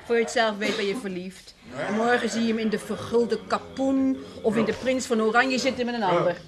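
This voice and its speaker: A woman plays a worldly tavern woman, fairly high-voiced, jeering with knowing laughs. Clattering dishes and a crowd are clearly heard around her. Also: a little fast, natural voice. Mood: mocking. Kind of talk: 1970 Dutch radio play.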